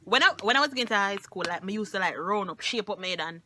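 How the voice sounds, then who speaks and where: A woman's voice: medium pitch, lively intonation, fast talker, pushed and bright, a little hiss or hum. A Jamaican woman in her twenties chatting casually as a vlog co-host, laughing often and slipping into Patois. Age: 20s. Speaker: YouTuber co-host. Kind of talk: casual chat.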